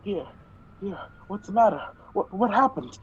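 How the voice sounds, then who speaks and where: A man playing a polite, earnest gentleman hero in an old-time radio play. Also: high-pitched, big pitch swings, normal speed, husky, full character voice. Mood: alarmed. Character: gentlemanly male hero caught in a spy intrigue. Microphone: video call. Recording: dull, noisy video call audio.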